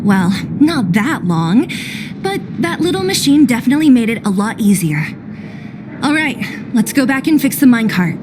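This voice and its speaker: Tough, high-voiced young female fighter. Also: sing-song, moderate pace, noisy background. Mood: wry. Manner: self-assured, blunt, sighing impatience. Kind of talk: video game character acting. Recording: loud music behind the voice.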